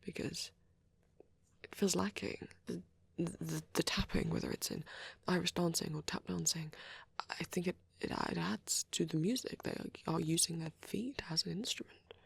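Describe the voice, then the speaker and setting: A man voicing a shy, soft-spoken vampire boy in an ASMR roleplay, hesitant and breathy, often slipping into a whisper. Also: a little high, no room sound, exaggerated voice. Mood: soft, musing. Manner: hesitant, halting, gently spoken. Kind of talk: ASMR, soft rambling and reading aloud.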